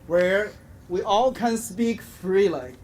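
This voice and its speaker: A man's voice: high-pitched, expressive, darkish tone. A man from China with a Chinese accent, giving a solemn, formal prepared speech as an activist at an outdoor rally. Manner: solemn, formal, deliberate phrasing. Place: outdoors in front of a public building. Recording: faint outdoor ambient noise. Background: faint ambience.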